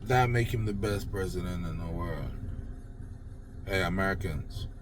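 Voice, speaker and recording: A man's voice: low voice, a little slow, background noise. An older man from Jamaica with a Jamaican accent, ranting sarcastically about politics into his phone, blunt and swearing freely. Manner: sarcastic, mocking praise. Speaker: political commentator. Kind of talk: unedited political rant.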